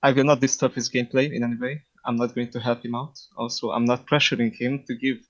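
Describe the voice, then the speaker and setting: A man with a Hungarian accent, a guest developer chatting casually, modest and halting as he searches for words in a second language. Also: mid-range voice, level tone, dull audio. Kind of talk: casual chat.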